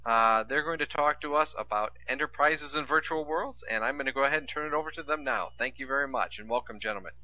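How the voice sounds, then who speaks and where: A man's voice: medium pitch, quick pace, few pauses, dull audio, a little hiss or hum. A man moderating a conference, giving introductions and announcements.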